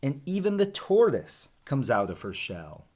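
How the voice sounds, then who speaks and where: Male elementary teacher, unhurried, medium-pitched, expressive with storybook rhymes and chatty in asides to kids. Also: slight background noise. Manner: expressive rhyming delivery, chatty asides addressing children. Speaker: elementary school teacher reading aloud. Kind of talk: picture-book read-aloud and informal lesson for children.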